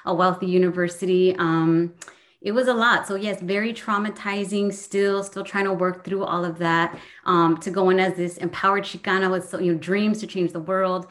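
A woman's voice: on the low side, fairly even intonation, normal speed, fairly bright tone. A woman from Southern California, a professor and guest, talking casually and earnestly with frequent ums. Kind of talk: casual conversation.

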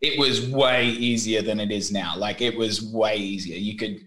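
Animated Australian man telling stories. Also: fairly low pitch, normal speed. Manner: animated storyteller, acts out voices in anecdotes. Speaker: guest. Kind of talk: casual podcast interview.

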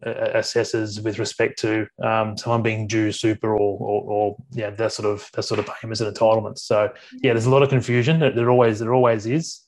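An Australian man in his forties talks as a podcast guest in an even, flat tone. He speaks haltingly, repeating words and filling pauses with ums. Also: lowish voice. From Australia, Sydney. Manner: halting, with many restarts and ums. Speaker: podcast guest, workplace advisor. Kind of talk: casual interview talk.

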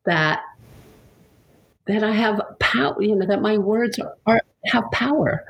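A woman's voice: lowish voice, low-quality recording. A woman interviewer talking casually and reflectively, searching for her words and often repeating them as she goes. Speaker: interviewer and yoga writer.

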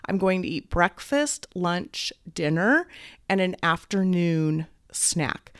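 A woman hosting a podcast as a weight coach, talking casually while fighting a head cold, a little raspy and clearing her throat. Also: on the low side, dry recording, studio quality. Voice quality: slightly raspy. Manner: upbeat, encouraging, faith-based. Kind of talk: casual solo podcast talk.

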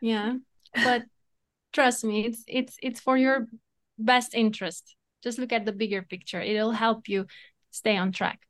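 Romanian-accented woman, fairly high voice. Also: fairly even intonation, a little slow. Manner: thoughtful, advice-giving, laughs at herself. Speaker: guest, entrepreneur and coauthor. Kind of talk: casual podcast conversation.

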